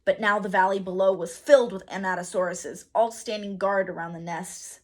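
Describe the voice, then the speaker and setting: A woman reading a children's story aloud as a teacher, with expressive, dramatic emphasis. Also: mid-range voice. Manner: dramatic emphasis, pauses to quiz listeners.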